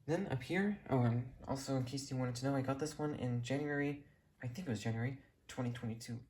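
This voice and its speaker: Mellow, rambling hobbyist narrator. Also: medium pitch, fast, slight background noise. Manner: rambling, self-correcting. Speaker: hobbyist video creator. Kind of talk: casual unscripted show-and-tell narration. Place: indoors in a room at home. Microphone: handheld camera, speaker behind it.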